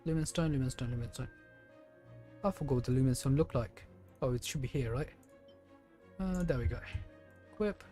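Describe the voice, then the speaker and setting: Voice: mid-range voice, fairly quick, pauses often, mellow, faint music behind. A game streamer giving casual, chatty, playfully exasperated live commentary.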